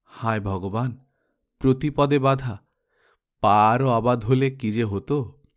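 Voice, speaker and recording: A man's voice: mid-range voice, slow, lightly acted. A man voicing an aggrieved son-in-law in a storybook, grave and sarcastic as he complains. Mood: exasperated.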